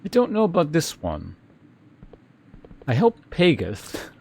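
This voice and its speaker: A man giving casual game commentary as a streamer, musing in an unhurried, hesitant way and reading game text aloud. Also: on the high side, wildly swooping pitch, gravelly, a little mellow. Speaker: live streamer playing a video game.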